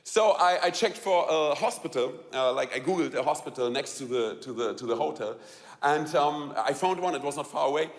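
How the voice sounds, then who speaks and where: A man with a German accent tells a personal story to a live audience, halting and repeating words, laughing often and asking the audience questions. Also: fairly high pitch, animated, in a large hall. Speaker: speaker telling a personal story.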